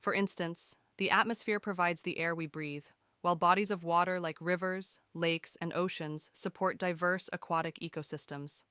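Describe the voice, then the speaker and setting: A woman giving a scripted read-aloud with measured, clear enunciation. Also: lowish voice, steady tone, fairly bright tone, dry recording.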